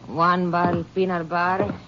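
A woman's voice: fairly low pitch, little pitch movement, moderate pace, background noise, lightly acted. An actress playing a languid, obliging Mexican woman storekeeper in a 1950s radio drama. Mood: languidly obliging. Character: large, heat-weary Mexican woman storekeeper. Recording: muffled old radio recording, murmuring background.

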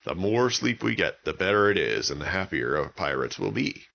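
A man's voice: sits low, very animated, normal speed, vocal fry, sharp tone, lo-fi sound, full character voice. A man voicing a kindly, cheerful pirate captain in a children's story, with earnest, simple, repetitive lines. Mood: gently instructive. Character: kindly, cheerful pirate captain looking after his crew.

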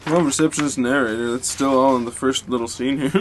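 A man's voice: medium pitch, steady tone, slight background noise, poor audio. A man co-hosting a podcast, bantering loosely and laughing often between lines. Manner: giggly, drawn-out, loose. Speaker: podcast co-host. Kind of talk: casual banter recording outtakes.

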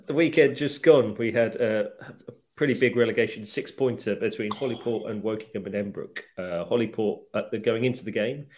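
A man hosting a casual football podcast, speaking in a measured way as he steers the chat and sums up results. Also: on the low side, darkish tone. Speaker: podcast host.

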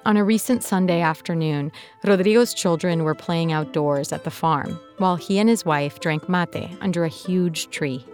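A woman narrates a podcast story in a measured, clear way, her voice sitting a little low. Soft music plays quietly behind her. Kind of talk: scripted narration.

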